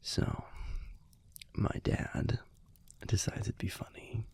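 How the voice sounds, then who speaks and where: A man playing a gruff but fond young werewolf boyfriend in an intimate audio roleplay, telling a story in a hushed, halting, husky and gravelly voice. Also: no room sound, whispered, natural voice. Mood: wistful, amused. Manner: hushed, halting, conversational delivery with pauses.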